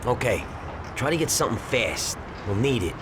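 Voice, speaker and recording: A man's voice: mid-range voice, very animated, moderate pace, close to an everyday voice. A man playing a blunt, streetwise gangster who schemes and gives instructions in a video game cutscene. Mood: businesslike scheming. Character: scheming, brisk gangster planning a robbery. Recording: noisy street ambience with vehicles.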